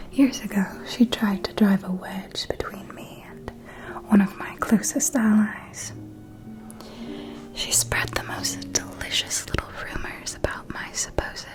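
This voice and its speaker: A woman playing a refined, shrewd vampire noble who gossips in an elegant, deliberate manner in a whispered ASMR roleplay. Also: medium pitch, lightly acted. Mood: cool, guarded. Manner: slow, deliberate, elegant, hushed insinuation.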